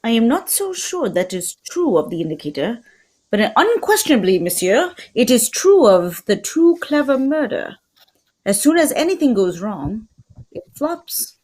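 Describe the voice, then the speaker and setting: A woman acts out a self-assured gentleman detective in a radio mystery. The voice sits fairly high and has a husky grain. Phrases rise and fall theatrically, each word placed with unhurried precision. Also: sing-song. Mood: measured, sure. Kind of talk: old-time radio mystery acting.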